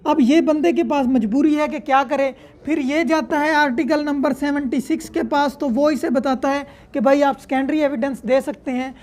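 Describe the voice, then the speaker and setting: A woman's voice: high-pitched, steady tone, normal speed, few pauses, darkish tone. A woman with a Pakistani accent lecturing in an explanatory way, reading formal text, then posing questions and answering them. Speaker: law lecturer.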